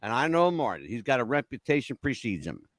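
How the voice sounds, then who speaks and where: A man's voice: mid-range voice, very animated, a little fast, dry recording, clean studio sound. An older man from the Northeastern United States hosting a casual interview show, talking in a teasing, theatrical, joking way. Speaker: talk show host.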